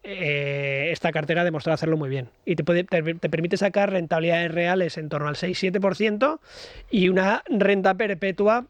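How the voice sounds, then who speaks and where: A man's voice: high voice, steady tone, normal speed, dry recording. A man, a guest expert on a radio show, explaining investment ideas with many hesitant fillers. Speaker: guest financial educator. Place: radio studio.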